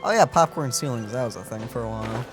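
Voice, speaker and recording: A man's voice: mid-range voice, fast, faint music behind. A man hosting a reaction video, making casual, joking remarks over gameplay.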